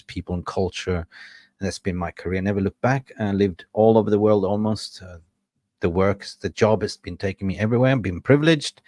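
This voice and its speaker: A man in his fifties from Sweden, co-hosting a casual chat, playful and quick to laugh. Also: lowish voice, a little mellow, no echo, clean studio sound. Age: 50s. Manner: playful, laughs often, slips into jokey impressions. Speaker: co-host of a football fan show.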